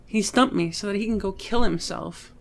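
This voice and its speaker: A woman's voice: medium pitch, normal speed, lightly acted. A woman playing a young, heartbroken assistant in a voiced comic, bitter, aggrieved and sarcastic. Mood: bitter, hurt. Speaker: voice actor. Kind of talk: voiced comic book dialogue.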